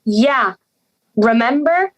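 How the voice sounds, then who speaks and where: Anxious fairy-tale schoolgirl acted by a young woman, pleading, high voice, very expressive pitch, audio drama. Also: slow pace. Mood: earnest. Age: young adult. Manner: hesitant, pleading.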